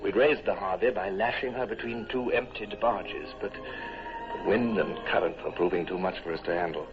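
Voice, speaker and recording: An actor playing a calm, resolute naval commodore who also narrates, in a measured command voice. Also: male voice, lowish voice, music in the background. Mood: narrating. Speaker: narrator and lead. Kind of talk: 1940s radio drama acting. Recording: muffled old radio with clear hiss.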